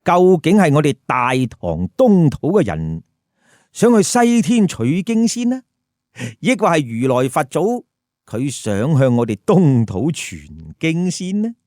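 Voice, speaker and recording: A man's voice: fairly high pitch, huge pitch swings, slow pace, darkish tone, dry recording, studio quality. A man with a Hong Kong Cantonese accent telling a story with colloquial, emphatic commentary and rhetorical questions. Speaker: storyteller host.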